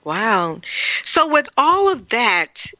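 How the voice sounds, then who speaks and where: A woman's voice: a little high, big pitch swings, takes it slowly, bright, forceful tone, no room sound. Female radio host, effusive, lo-fi and dull-sounding, reads her intro then chats. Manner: effusive, gushing reactions. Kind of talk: radio interview, reading a scripted introduction. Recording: dull lo-fi, dry.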